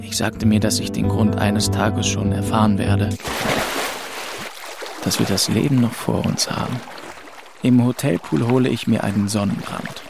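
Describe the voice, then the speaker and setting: Radio drama lead: grieving young narrator, introspective and detached, low with a gravelly edge. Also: male voice, fairly even intonation, unhurried, long pauses. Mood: detached, melancholy. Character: grieving orphaned young man of twenty-two, aimless and withdrawn. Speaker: first-person narrator. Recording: music behind the voice.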